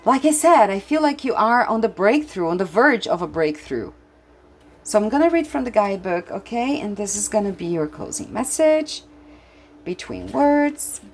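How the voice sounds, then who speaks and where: A Brazilian woman whose first language is Portuguese gives a tarot reading to her viewers, in English with a Brazilian accent. She gets excited easily and often restarts her sentences. Her voice sits at a middling pitch for a woman. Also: moderate pace. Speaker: tarot reader.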